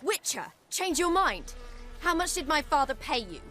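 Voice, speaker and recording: Game role: bitter zealous daughter, slightly high, cutting. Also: female voice, very animated, normal speed, bright, forceful tone, faint music behind, exaggerated voice. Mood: defiant. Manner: firm, cutting. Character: defiant, devout, bitter young daughter. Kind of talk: video game cutscene acting.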